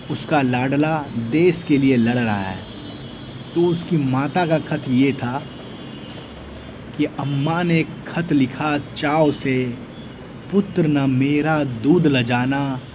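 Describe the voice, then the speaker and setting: A man giving a patriotic speech with recited verse in a declamatory, rhetorical manner, using dramatic pauses and repeated lines. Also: medium pitch, highs cut off, background noise. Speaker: orator reciting patriotic poetry.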